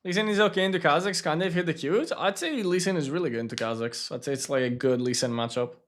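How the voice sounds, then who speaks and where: A young man whose first language is Greek, streaming a game and chatting casually with viewers, relaxed and full of fillers. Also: on the high side, fast talker. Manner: relaxed, filler-heavy, lots of "like". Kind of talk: casual gaming commentary, answering chat.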